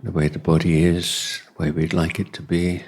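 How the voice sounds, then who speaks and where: A man who teaches meditation as a Buddhist monk, speaking in a measured, deliberate way with long pauses in mid-phrase and a husky voice. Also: deep voice, flat delivery, darkish tone. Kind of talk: guided meditation and dhamma talk.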